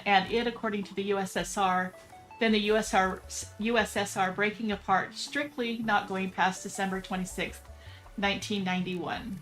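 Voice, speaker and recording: A woman's voice: mid-range voice, a bit bright. A woman reading viewer comments aloud on a gaming livestream, friendly and matter-of-fact. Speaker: livestream chat reader.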